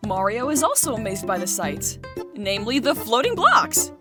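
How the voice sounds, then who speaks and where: A woman's voice: on the high side, wildly swooping pitch, normal speed, music in the background. A woman reading scripted storybook narration as the narrator of an adventure tale.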